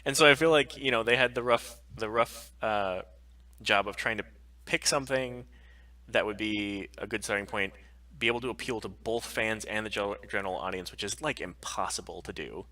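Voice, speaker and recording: A man's voice: lowish voice, moderate pace, bright, forceful tone, distant mic. A man co-hosting a casual livestream podcast, talking emphatically and freely. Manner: emphatic, frequent swearing. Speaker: podcast co-host.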